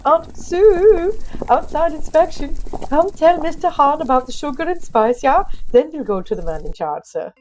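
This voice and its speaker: A woman voicing a cheerful, deferential male Christmas elf in an audio story, excitable and eager to please. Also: lowish voice, fairly quick, few pauses, a little hiss or hum, poor audio. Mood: excited, calling out. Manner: excitable, ends remarks on "yeah?".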